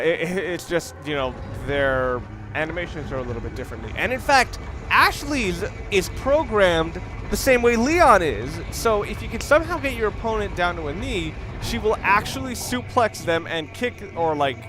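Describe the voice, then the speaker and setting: A man giving casual, offhand and somewhat rambling commentary while playing a video game. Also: fairly high pitch. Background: video game music.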